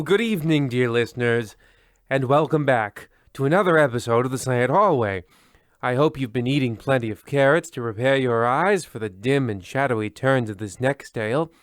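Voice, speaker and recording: A man's voice: medium pitch, no room sound, natural voice. Man acting a pompous horror-show host, very dry sound. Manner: pompous, petulant, florid. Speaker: narrator and host. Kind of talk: mock old-time radio horror narration.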